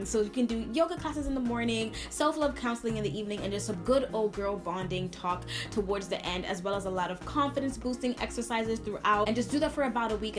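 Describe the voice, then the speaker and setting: Expressive New woman chatting over music. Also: medium pitch. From Brooklyn, New York, United States. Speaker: video blogger answering viewer questions. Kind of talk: casual chatty Q&A to camera.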